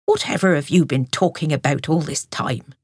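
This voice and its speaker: Lady doing a proud grumpy squirrel lady, low-ish, snippy, dry close sound. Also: normal speed, husky, no echo. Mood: scornful. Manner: grumbling, sharp-tongued. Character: proud female squirrel, grumbling and haughty.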